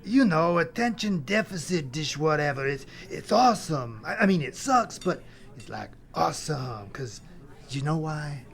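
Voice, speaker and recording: A man's voice: a little high, animated, moderate pace, vocal fry. A man playing Death as a brash, glib, freeloading cousin who rambles in bro talk in an audio comedy drama. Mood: rambling, persuasive.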